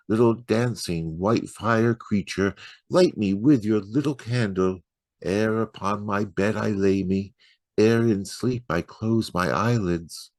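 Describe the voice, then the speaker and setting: A man's voice: on the low side, darkish tone, dry recording, voice pushed up. A man voicing a small, curious, easily frightened boy in a poetry reading, full of wondering questions.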